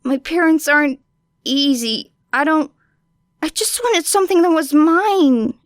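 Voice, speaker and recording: Woman acting a high-pitched, timid hurt young girl. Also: no echo, studio quality. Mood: hesitant, hurt. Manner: hesitant, halting, plaintive.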